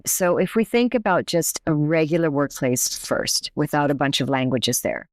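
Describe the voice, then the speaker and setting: A woman's voice: low-pitched, animated, moderate pace, no echo, studio quality. A woman professor and native English speaker, explaining her research in a conversational podcast interview, thoughtful with frequent restarts.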